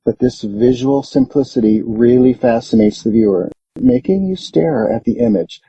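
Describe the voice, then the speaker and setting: Male lesson narrator, extremely mellow and husky, read-aloud style, dull muffled dry recording. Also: medium pitch, no room sound. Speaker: English lesson reader.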